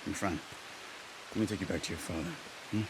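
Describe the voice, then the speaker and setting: Calm outlaw sidekick, very low voice, cautious, steady game dialogue, rain noise behind. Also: male voice, fairly quick. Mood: kind. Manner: cautious, reassuring. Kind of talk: video game cutscene acting.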